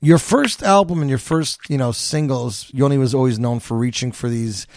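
A man's voice: medium pitch, moderate pace, darkish tone, a little hiss or hum. Chatty male interviewer. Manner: chatty, many fillers. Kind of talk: casual interview chat.

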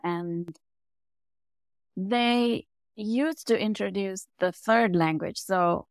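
A middle-aged woman from Sri Lanka with a Sri Lankan accent, a native Tamil speaker, telling her story as an interview guest and growing animated as she goes. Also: drawn-out words, no room sound, studio quality. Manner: animated, rising in pitch and volume when telling a story. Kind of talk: conversational storytelling.

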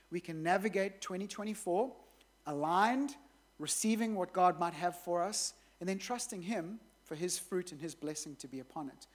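A woman pastor introducing a sermon series and leading a prayer in an earnest, measured way. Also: fairly low pitch, darkish tone.

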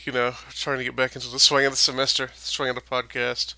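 Gravelly, bright-toned man with dry humor. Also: mid-range voice, quick pace. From Minnesota. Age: late 20s. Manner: wry, deadpan humor. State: slightly hoarse. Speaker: podcast co-host. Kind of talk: casual chat.

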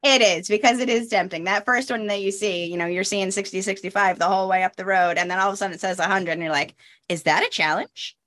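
A woman's voice: mid-range voice, fairly even intonation, fast talker, fairly bright tone. A woman in her thirties hosting a podcast, chatting casually and laughing often, with self-deprecating asides. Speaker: podcast host. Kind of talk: casual chat.

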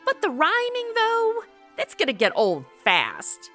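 A woman's voice: extremely high pitch, wildly swooping pitch, measured pace, sharp tone, soft background music. A woman hosting and acting in a playful radio comedy, with a perky, modern, slangy delivery. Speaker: show host and lead actress.

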